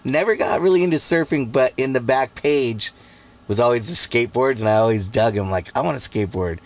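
A man's voice: mid-range voice, brisk. A man in his forties from Southern California, chatting casually as a podcast guest and rambling through his stories. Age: 40s. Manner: laid-back, rambling storyteller with fillers. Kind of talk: casual chat.

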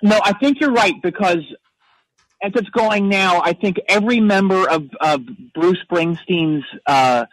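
An actor playing a brash, combative caller who rambles about rock music and picks fights. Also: male voice, sits high, unhurried, fairly bright tone, no echo, poor audio. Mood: chummy. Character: brash hotheaded man obsessed with rock. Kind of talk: comedic character phone call.

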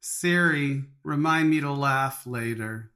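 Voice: medium pitch, slow, natural voice. An actor playing a foul-mouthed, unimpressed drag queen in a campy comedic radio play, with dry sarcasm. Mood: scornful. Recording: loud music behind the voice.

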